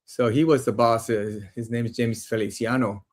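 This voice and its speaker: A man's voice: mid-range voice, steady tone, moderate pace. A man hosting a podcast interview, enthusiastic and repeating words as he starts his sentences. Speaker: podcast host. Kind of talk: casual interview talk.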